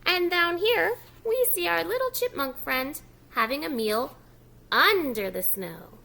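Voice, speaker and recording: Female preschool storytime reader: slow, very expressive delivery, lively pitch swings, encouraging asides for little listeners. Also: gravelly. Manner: expressive, encouraging, simple child-directed talk with prompts to repeat words. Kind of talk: children's picture-book read-aloud with asides to young listeners.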